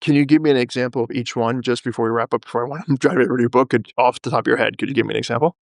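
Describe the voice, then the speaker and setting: A man's voice: medium pitch, steady tone, rapid-fire, vocal fry, no echo, studio quality. A man hosting a podcast in a casual interview style, speaking bluntly and emphatically. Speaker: podcast host.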